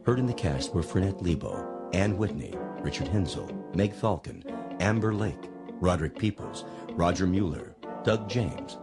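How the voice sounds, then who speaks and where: A man reading scripted radio drama credits as an announcer, formal and deliberate. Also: low-pitched, expressive. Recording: loud music under the announcement.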